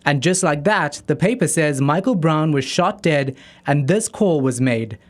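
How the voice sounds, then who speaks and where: Higher-voiced male news correspondent. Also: lively intonation, unhurried. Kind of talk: scripted news report narration.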